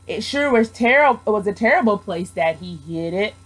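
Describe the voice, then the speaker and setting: A woman reading a children's storybook aloud with expressive, rhythmic narration. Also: mid-range voice, moderate pace, low-quality recording. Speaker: storybook reader, student teacher.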